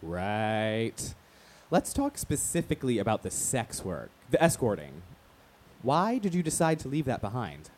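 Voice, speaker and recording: Flamboyant, swooping radio host. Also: male voice, mid-range voice, big pitch swings, darkish tone, a little hiss or hum. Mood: probing, sly. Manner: campy, playful, drawn-out emphasis, teasing. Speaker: radio talk show host. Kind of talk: radio play acting as talk show banter.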